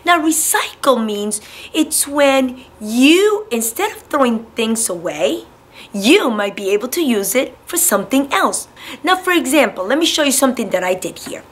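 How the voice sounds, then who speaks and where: A woman in her thirties teaching and reading to young children in an animated, simple, questioning way. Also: high voice, normal speed. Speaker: preschool teacher. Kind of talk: lesson and read-aloud for young children.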